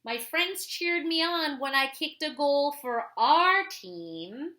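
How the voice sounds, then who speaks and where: Woman reading as an earnest, very animated schoolboy. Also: high voice, slow, heavily acted. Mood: proud. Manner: expressive, earnest, singsong. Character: earnest schoolboy learning his lesson, telling his story. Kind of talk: children's picture book read-aloud.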